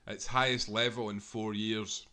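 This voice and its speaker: A man reading a football history book aloud in a steady, measured way, speaking as a proud supporter of his club. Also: fairly low pitch, smooth. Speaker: audiobook reader.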